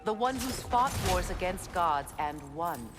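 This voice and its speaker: An actress voicing a sharp-tongued woman in a mythic video game, speaking in a cutting, argumentative way. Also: lowish voice, animated, unhurried. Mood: reproachful. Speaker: game character. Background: game music.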